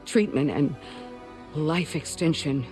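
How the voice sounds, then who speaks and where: An actor playing a weary, gentle doctor who shelters mothers, speaking in an earnest, measured, sorrowful way in a video game drama. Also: on the high side, faint music behind. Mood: regretful.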